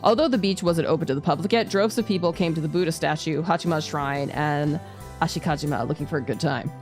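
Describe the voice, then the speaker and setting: A woman's voice: low-pitched, quick pace, a little mellow, faint music behind. A woman streamer reading visual novel narration aloud, with casual asides. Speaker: VTuber streamer.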